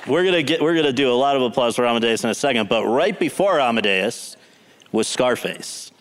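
A man's voice: medium pitch, expressive, brisk, slight background noise. A man interviewing an actor on stage before an audience, asking long, rambling questions with restarts. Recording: long hall reverb.

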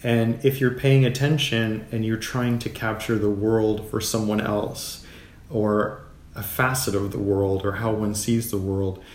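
A middle-aged man speaking as a guest in a reflective literary discussion, in a measured, philosophical manner. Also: lowish voice, darkish tone. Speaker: guest, writer. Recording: roomy echo.

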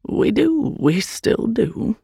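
A woman's voice: on the high side, big pitch swings, takes it slowly, creaky, warm tone, slightly rough, no echo, studio quality, voice pushed up, exaggerated voice. A woman voicing a sly, placating male demon in an audiobook story, cagey and coaxing.

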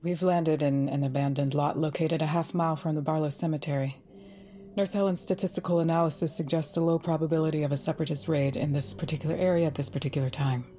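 An actress playing a brisk, commanding female ally in an audio drama, formal and businesslike in delivery. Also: sits low, steady tone, dark tone, a little hiss or hum, close to an everyday voice. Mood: briefing, businesslike.

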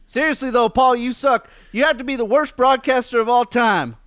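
A man's voice: extremely high pitch, moderate pace, a bit bright, smooth. A man voicing a laid-back Southern male comic with a put-on Southern accent, roasting with teasing jokes. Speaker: comedy podcast co-host. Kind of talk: mock roast comedy bit.

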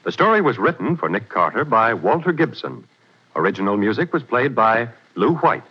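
A man's voice: mid-range voice, sing-song, moderate pace, slightly rough. A male radio announcer reading closing credits in a formal 1940s announcing manner. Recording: dull 1940s radio broadcast.

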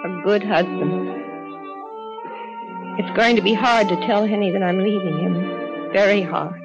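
An actress playing an earnest, wistful young wife in a sentimental 1940s radio drama. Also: female voice, mid-range voice, steady tone, measured pace, lightly acted. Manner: sentimental, reflective. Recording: muffled 1940s radio broadcast, loud music behind.

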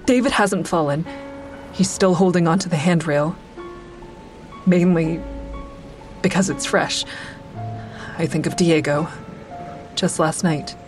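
A woman's voice: lowish voice, slow pace, pauses often, a little mellow. An actress playing a bitter, defiant woman who narrates a confrontation with her former partner in an audio drama. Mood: grave, reflective. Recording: music behind the voice.

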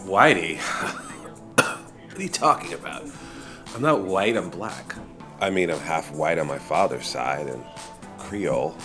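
A woman's voice: rumbling low, lively intonation, measured pace, pauses often, fairly bright tone, soft background music, exaggerated voice. A woman acting a drab, reluctant petty criminal in an audio comedy drama, curt and grumbling. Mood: indignant, curt.

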